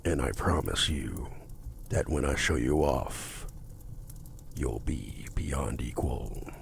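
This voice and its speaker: Man voicing a very deep, gravelly seductive demon. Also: lively intonation, slight background noise, heavily acted. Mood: seductive, smug. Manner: slow, deliberate, formal, domineering. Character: seductive possessive male demon contract-broker, menacing, smug. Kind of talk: intimate ASMR roleplay acting.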